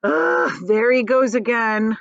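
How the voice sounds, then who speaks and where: A woman's voice: on the high side, steady tone, takes it slowly, fairly bright tone, clear, resonant tone, no echo, highs cut off. A woman voicing a prim, indignant male earthworm in a storybook, grumpy and disapproving. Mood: exasperated.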